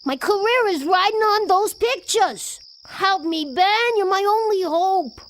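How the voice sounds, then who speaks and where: A determined news photographer in a video game. Her voice is exceptionally high, urgent and insistent, as if everything hangs on being heard. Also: lively intonation, unhurried, a little hiss or hum. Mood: pleading. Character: driven, pleading young woman news photographer. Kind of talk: video game cutscene acting.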